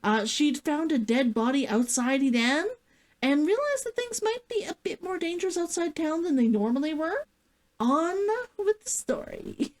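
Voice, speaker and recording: A woman hosting a read-along stream, talking to viewers and reading a story as an excitable vtuber. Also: high-pitched, normal speed. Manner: giddy, giggly, gushing and repetitive while chatting.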